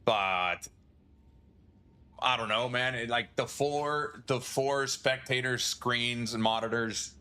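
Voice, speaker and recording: A man's voice: mid-range voice, takes it slowly, sharp tone, smooth. A male streamer chatting casually on a livestream, rambling, swearing and chuckling often.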